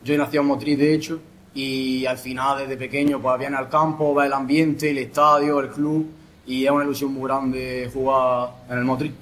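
A young man, a footballer, answering post-match interview questions in a modest, halting way. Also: medium pitch, flat delivery, fairly bright tone, a little hiss or hum. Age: young adult. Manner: halting, modest, pausing to restart.

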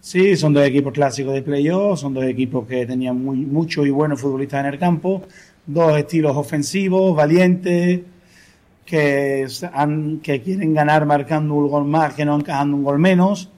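A man, a football coach, calmly breaking down a match in a post-game interview. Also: on the high side. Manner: measured, analytical, with restarts.

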